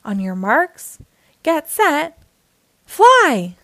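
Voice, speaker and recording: A woman voicing an excitable race announcer in a storybook, proclaiming loudly. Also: sits high, very slow, no echo, pitched above the performer's own voice, exaggerated voice. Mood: rousing. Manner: loud, proclaiming. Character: excitable booming race announcer for monster machine races.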